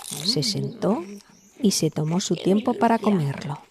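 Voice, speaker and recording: A woman narrating a scripted fairy tale as a storyteller, in a measured, dramatic way. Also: lowish voice, expressive, crowd noise.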